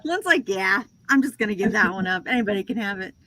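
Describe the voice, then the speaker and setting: A woman's voice: medium pitch, animated, fast, a loud crowd, rough recording. Chatty woman in her forties, laughing. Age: 40s. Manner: chatty, self-deprecating, laughs often. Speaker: host of a reseller livestream. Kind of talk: casual chat with lots of laughing.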